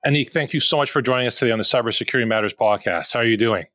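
A man's voice: medium pitch, very fast, pushed and bright, no echo, soft background music. A man hosting a podcast, friendly and a bit stumbling, with fillers. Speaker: podcast host.

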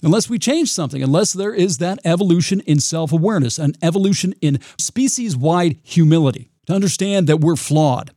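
A man with a raspy voice hosting his own podcast, talking in a reflective, rambling way with frequent restarts. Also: a little high, lively intonation, a little fast, hardly any pauses, mellow, dry recording, studio quality. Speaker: podcast host. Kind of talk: solo podcast monologue.

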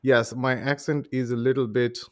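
A man in his forties with an Indian accent softened by life in several countries, talking as a guest on a podcast. His pitch sits in the middle range for a man, and his phrasing is measured with a playful, joking streak. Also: flat delivery. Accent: Indian, mixed with international influences. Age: 40s. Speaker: guest musician. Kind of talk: casual podcast interview.

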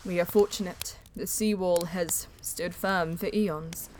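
A woman playing a solemn, guarded alien explorer in a science fiction audio drama, speaking softly and with deliberate care. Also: fairly low pitch, fairly even intonation, a little hiss or hum, close to an everyday voice. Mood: calm, guarded. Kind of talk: science fiction audio drama, poetic first-person narration. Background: music.

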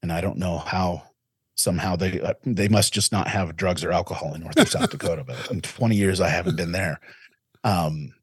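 A man in his forties with a very low, husky voice. He speaks earnestly and reflectively, often circling back and restarting his sentences. The sound is dry and close, with no room around him. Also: moderate pace, no echo. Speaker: interventionist guest. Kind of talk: casual interview talk.

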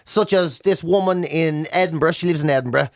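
A man's voice: a little high, brisk, dry recording. A man with a Dublin accent, a radio host telling stories in casual, exasperated comic banter, close on a studio microphone. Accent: Dublin Irish. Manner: animated, exasperated comic ranting.

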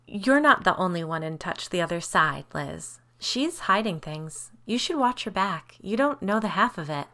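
A woman voicing an uncanny, sinister woman in a spooky story, calm, mocking and ominous. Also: a little high, normal speed, hardly any pauses, voice pushed up. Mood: coolly menacing. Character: sinister hooded woman, coolly taunting, uncanny impostor.

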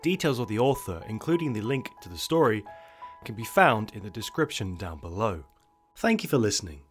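Male podcast host, lowish voice, scripted sign-off over soft background music. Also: big pitch swings, fairly quick.

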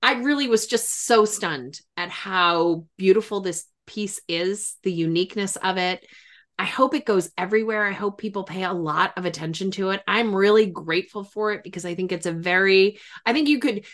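A woman's voice: mid-range voice, normal speed, a bit bright. A woman hosting a podcast in a casual conversational interview, speaking in an effusive, emphatic way. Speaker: podcast host and grief expert.

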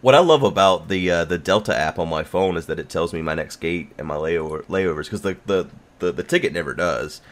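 A man's voice: sits low, fairly quick, hardly any pauses. A young man co-hosting a casual chat, joking and talking loosely with filler words.

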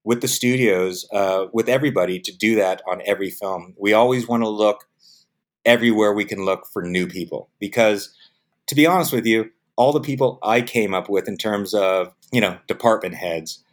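A middle-aged man from the United States, a film producer speaking in a casual interview, telling stories and acting out the voices of people he quotes. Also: normal speed. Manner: animated storyteller, acts out quoted voices with jumps in pitch; repeats words when restarting.

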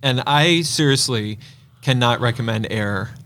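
A man's voice: medium pitch, a little slow, a bit bright. A man co-hosting a casual movie podcast, joking around with playful exaggeration. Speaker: podcast co-host; movie theater operator.

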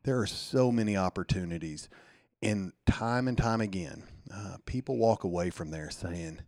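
A man's voice: sits low, moderate pace, a little mellow, no echo, clean studio sound. A man with a slight lisp, talking casually and earnestly as he promotes an event he helps organize.

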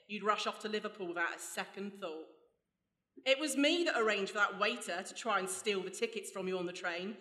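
Bright, gloating villainess in an echoey hall. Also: female voice, medium pitch. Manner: taunting, menacing monologue. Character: vengeful, gloating female villain, a gun-toting criminal mastermind. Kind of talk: theatrical radio play acting. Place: stage before a live audience. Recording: echoey hall, live stage.

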